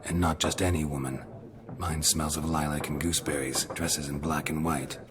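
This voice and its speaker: An actor playing a weary, grim monster hunter in a video game cutscene, speaking tersely and bluntly. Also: male voice, a bass voice, fairly even intonation, moderate pace, heavy background noise. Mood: curt. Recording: game audio with music behind.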